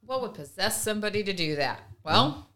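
Woman podcast cohost, opinionated, earnest, medium pitch, just talking things through. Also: expressive, brisk, bright, forceful tone. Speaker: podcast co-host. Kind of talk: casual discussion.

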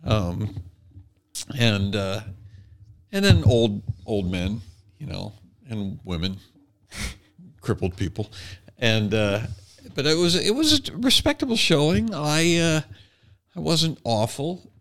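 A man's voice: medium pitch, big pitch swings, takes it slowly, long pauses, a bit bright. A middle-aged American man hosting a podcast, chatting casually with self-deprecating, jokey storytelling. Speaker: podcast host, stand-up comic. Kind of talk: casual humorous chat.

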